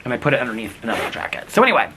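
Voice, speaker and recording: A man vlogging outdoors in wind and snow, talking casually in a rambling, giggly way with a husky, crackly voice. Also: medium pitch, rapid-fire. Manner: rambling, giggly, animated storytelling. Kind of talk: casual chatty vlog. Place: outdoors in windy snowy weather.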